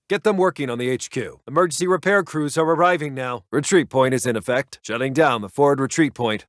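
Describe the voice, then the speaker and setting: An actor playing a brisk, grave American army intelligence officer in a war strategy game, reporting in clipped, soldierly phrases. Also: male voice, mid-range voice, dry recording, studio quality, close to an everyday voice. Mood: brisk, businesslike. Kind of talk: scripted military radio-report game voice lines.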